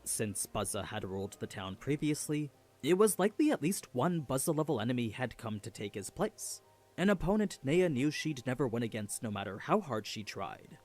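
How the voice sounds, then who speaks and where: A man narrates a scripted story recap. His mid-range voice moves up and down in pitch a good deal, giving the storytelling an animated delivery. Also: normal speed, faint music behind.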